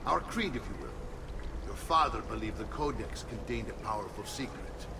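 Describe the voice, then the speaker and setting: An actor playing a commanding middle-aged Italian uncle and assassin mentor in a video game, authoritative, theatrical and Italian-accented. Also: male voice, on the low side, animated, normal speed, recorded from a distance, background noise. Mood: grave, secretive. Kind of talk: dramatic video game acting.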